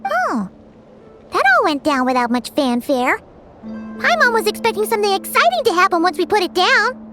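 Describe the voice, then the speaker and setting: A woman's voice: very high voice, very animated, normal speed, music in the background. A woman voicing a small, bubbly, childlike fairy companion in a video game, curious and exclamatory. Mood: let down. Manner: exclamatory, childlike, speaks of self in third person.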